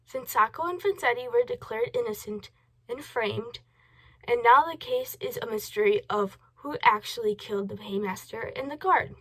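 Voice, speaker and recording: Higher-pitched woman reading a news report. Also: moderate pace, fairly bright tone, breathy edge, low-quality recording. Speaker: news presenter in a student history project. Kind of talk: scripted news report narration.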